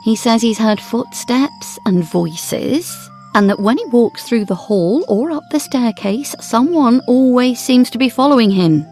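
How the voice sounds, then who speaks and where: An actress playing a composed, wry and fearless wife in a period ghost story, measured, theatrical and dryly pointed. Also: female voice, on the high side, lively intonation, darkish tone, faint music behind. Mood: wry, exposing. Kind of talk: period ghost story audio drama acting.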